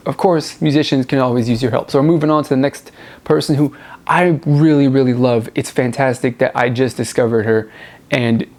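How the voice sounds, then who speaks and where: A man's voice: mid-range voice, steady tone, a little fast, darkish tone. Rambling man hosting a music show. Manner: rambling, repeats words for emphasis. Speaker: host of a music review show. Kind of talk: casual unscripted music review to viewers.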